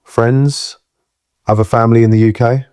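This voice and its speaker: A man's voice: medium pitch, flat delivery, moderate pace, darkish tone, clean tone, dry recording, clean studio sound, natural voice. An actor playing a shaken but kindly man in an audio drama, a reluctant rescuer who speaks in a hesitant, reassuring, muttering way. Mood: stunned, concerned.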